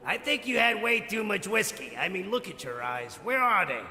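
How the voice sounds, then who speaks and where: High-pitched heckling dummy, echoey hall. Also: male voice, very animated, normal speed, over loud music. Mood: taunting. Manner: mocking, wisecracking insults. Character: brash heckling ventriloquist's dummy, insulting crowd comic. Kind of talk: audio drama stage act. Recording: echoey noisy club hall.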